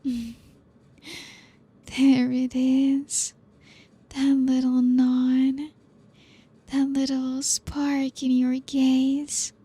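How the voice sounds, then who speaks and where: Woman voicing a breathy, possessive vampire seductress. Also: high-pitched, monotone, full character voice. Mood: teasing. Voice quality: breathy, whispery. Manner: slow, teasing, purring, with soft laughs. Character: possessive female vampire, ancient, hungry, seductive. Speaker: ASMR roleplay performer. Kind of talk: ASMR roleplay.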